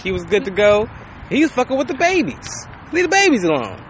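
Animated fast-talking man in his thirties. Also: high voice, slight background noise. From Compton, US. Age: 30s. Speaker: podcast co-host. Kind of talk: casual chat.

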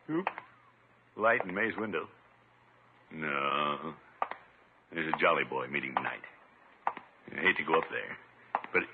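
An actor playing a pompous, easily flustered middle-aged water commissioner in a 1950s radio comedy. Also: male voice, low-pitched. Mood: glum. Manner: self-important, chuckling, easily flustered. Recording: muffled, noisy old radio recording.

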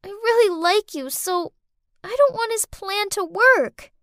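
A woman's voice: very high-pitched, expressive, a little slow, a bit bright, dry recording, studio quality, voice pushed up, full character voice. A woman voicing a scheming little girl in a story, with pushy, childish, whiny delivery. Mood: pleading confession.

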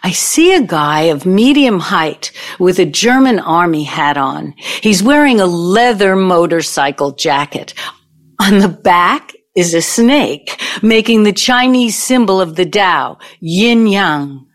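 A woman's voice: on the low side, expressive. A woman in an audio play acting a vengeful, older ex-girlfriend who needles and tells her story theatrically. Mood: dramatic storytelling. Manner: deliberate, taunting, storytelling delivery.

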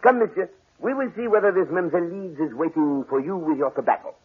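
An actor playing a courteous, self-assured detective with a French accent, speaking formally and precisely in a 1940s radio drama. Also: male voice, a little high, fast talker, natural voice. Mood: wry, polite. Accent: French-accented English. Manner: formal, precise, theatrically polite. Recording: muffled, scratchy 1940s radio recording.

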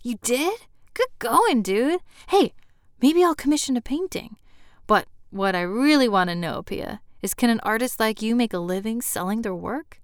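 A woman's voice: on the high side, animated, moderate pace, dry recording, clean studio sound, voice pushed up, natural voice. A woman voicing a jovial, pushy, talkative man in an audiobook, with a folksy, hearty manner. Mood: friendly, curious. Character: jovial, pushy conservative man, a chatty host. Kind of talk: audiobook character dialogue.

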